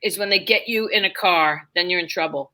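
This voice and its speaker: A woman's voice: fairly low pitch, brisk, sharp tone, poor audio. A woman telling stories conversationally, candid and emphatic, acting out bits of dialogue as a comedian guest. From New York, United States. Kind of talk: animated conversational storytelling.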